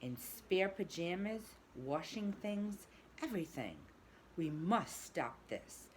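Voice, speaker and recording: A woman voicing a boastful, excitable rich male toad in a read-aloud storybook, loud and gushing. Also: fairly low pitch, slow pace, slight background noise, voice pushed up. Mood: exuberant.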